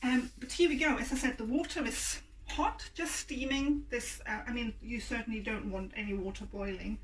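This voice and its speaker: A woman with a croaky voice, chattily demonstrating a craft and hesitating with many ums. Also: on the high side, moderate pace. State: croaky voice, clearing throat. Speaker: yarn dyer and shop owner. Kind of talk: casual demonstration video. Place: home workspace with hot plate. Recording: distant mic with faint hum. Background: faint hum of a hot plate.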